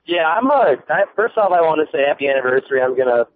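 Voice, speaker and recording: Fast-talking male caller on a phone line. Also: a little high, expressive. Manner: rambling, full of filler words.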